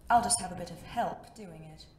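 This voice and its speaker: A woman's voice: on the low side, a little fast, dark tone, lightly acted. Suave crime boss female actor for a superhero audio drama; sardonic, coolly threatening, roomy reverberant sound. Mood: mocking menace. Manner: polite, condescending, sardonic.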